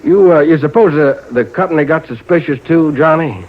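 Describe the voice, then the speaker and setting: An actor playing a folksy, nervous old small-town insurance agent with a rural American accent, hesitant and drawn out in old-time radio drama style. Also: male voice, on the high side, moderate pace, close to an everyday voice. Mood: confiding. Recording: narrow-band vintage radio recording.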